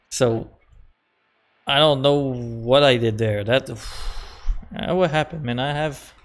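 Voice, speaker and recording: A man's voice: mid-range voice, very slow, long pauses. A man in his thirties, a native French speaker with a Québécois accent, casually chatting and laughing at himself while streaming a game from a small room at home. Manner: rambling, self-mocking, chuckles often, repeats words. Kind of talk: casual live-stream commentary.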